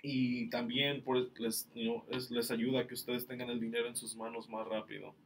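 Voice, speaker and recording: A man from Mexico hosting a live-streamed question-and-answer session, explaining things in a relaxed way with many fillers. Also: medium pitch, moderate pace, creaky, low-quality recording. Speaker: company staff host answering questions.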